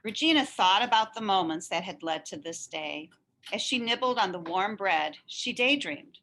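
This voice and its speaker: A woman reading a children's story aloud in an expressive, animated narrating style. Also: mid-range voice, normal speed, a little hiss or hum. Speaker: story reader.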